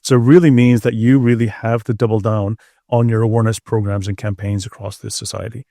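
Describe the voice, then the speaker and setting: A man's voice: fairly low pitch, little pitch movement, brisk, gravelly, dark tone, no echo, studio quality. A middle-aged man speaking as an expert guest, explaining things in a conversational interview. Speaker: expert guest, security researcher.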